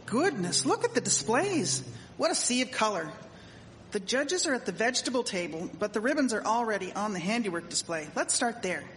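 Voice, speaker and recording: A woman's voice: on the high side, very animated, fairly quick, a bit bright. A woman playing a prim, exclamatory townswoman of the 1870s in a scripted period radio drama. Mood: admiring. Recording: echoey hall, faint background noise.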